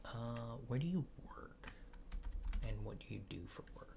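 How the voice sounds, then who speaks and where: Soft-spoken ASMR man, gravelly fry. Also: mid-range voice, expressive, measured pace, noisy background. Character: laid-back alien abductor giving a survey. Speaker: ASMR creator. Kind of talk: soft-spoken ASMR roleplay interview, partly whispered.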